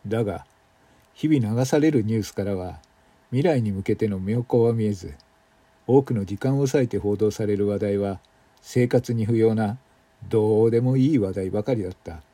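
Man playing a weary retired man, very mellow voice. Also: on the low side, normal speed, no echo, natural voice. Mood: reflective. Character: retired old man, weary and grumbling, reflective. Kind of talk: radio drama monologue.

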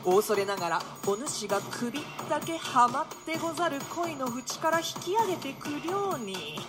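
A woman playing a boisterous, mocking young gentleman in a radio drama, declaiming archaic lines with bantering flamboyance. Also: high-pitched, very animated, moderate pace, over music. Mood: playful urging.

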